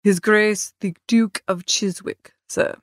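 A woman plays a composed male valet in a storybook reading. The manner is formal, deferential and unruffled, at a medium pitch for a female voice, in a dry recording. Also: steady tone, takes it slowly, dry recording. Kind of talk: audiobook character acting.

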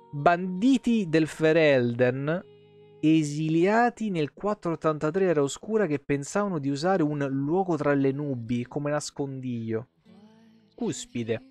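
Guy in his 30s on a game stream, kinda high voice, sweary, reads lore out loud, music behind him. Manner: irreverent, sweary. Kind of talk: live game commentary, reading lore aloud with casual asides.

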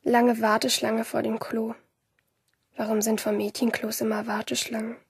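A woman's voice: fairly high pitch, vocal fry, slightly rough, dry recording, full character voice. A woman playing a solitary, dry-witted schoolgirl in a radio drama, narrating her day in terse, understated phrases. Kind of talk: first-person audio drama monologue.

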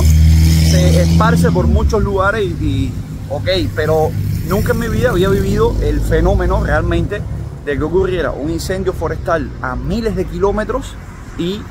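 A man's voice: high-pitched, very noisy. A man from Cuba with a Cuban accent, chatting casually to his viewers in a vlog, calling them family. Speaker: vlogger.

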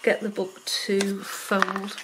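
A woman's voice: mid-range voice, completely flat delivery, takes it slowly, very noisy. Chatty older woman with a Wirral accent. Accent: Wirral, mix of Welsh and Liverpool. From Wales. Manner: chatty, many ums, talks to herself while working. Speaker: craft tutorial host. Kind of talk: casual craft tutorial. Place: home craft table, indoors. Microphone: overhead camera, hands only.

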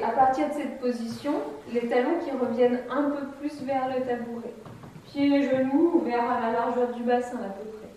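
Woman in her thirties, fitness instructor, calm step-by-step cues, high voice, big echoey room. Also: slight background noise. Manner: calm, step-by-step cueing while demonstrating. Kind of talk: guided chair exercise class. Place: bright empty room with wooden floor. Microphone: camera mic from a distance. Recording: echoey room, voice far from mic.

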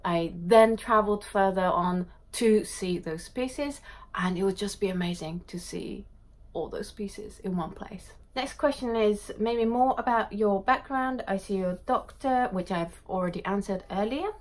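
A woman's voice: medium pitch, measured pace. A woman in her thirties, born in Japan, answering viewer questions casually to camera in her home art studio, reading each question aloud before a candid reply. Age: 30s. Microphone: camera in front at desk distance.

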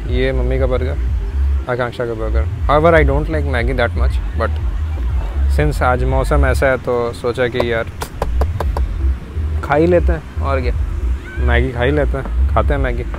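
A man's voice: mid-range voice, fairly even intonation, measured pace, pauses often, over music, poor audio. A young man chatting casually to camera as a travel vlogger, teasing his family and dropping English phrases into his talk, filmed at arm's length on a lapel mic by the sea. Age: young adult. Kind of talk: casual vlog chat. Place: windy beach outdoors, then beach cafe. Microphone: lapel mic, selfie camera held at arm's length.

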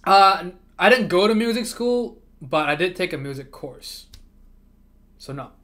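A man's voice: high voice, lively intonation, a little slow, a bit bright. A man who grew up speaking Chinese, hosting a livestream and chatting casually, often repeating himself and laughing. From moved to America from abroad. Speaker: livestream host. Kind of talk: casual chat with chat readers.

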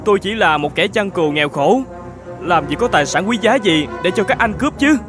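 An actor playing a kind, humble older shepherd in an animated folk tale, speaking politely and gently. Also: male voice, sits high, fairly quick, music in the background. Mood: frightened, pleading. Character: kind, humble older shepherd, hospitable, fearful under threat.

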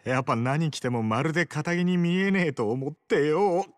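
A male actor voicing a cocky, teasing, loyal young yakuza in a Japanese video game, talking in rough slang. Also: fairly high pitch, moderate pace, dry recording, studio quality, close to an everyday voice. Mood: brisk, opinionated. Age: young adult.

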